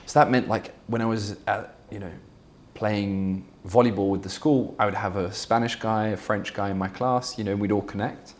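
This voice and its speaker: Low-voiced founder, candid. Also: male voice, fairly even intonation, normal speed. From Belgium. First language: Flemish. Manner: candid, fluent, many fillers and restarts. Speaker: startup founder, guest. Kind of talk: conversational interview answers.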